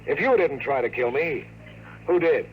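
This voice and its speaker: A man's voice: mid-range voice, animated, normal speed, dull audio, a little hiss or hum, lightly acted. An actor playing a wisecracking, world-weary male private investigator who narrates and talks in hardboiled 1950s radio drama style. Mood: defiant. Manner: wry, slangy, sardonic. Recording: old radio broadcast with music.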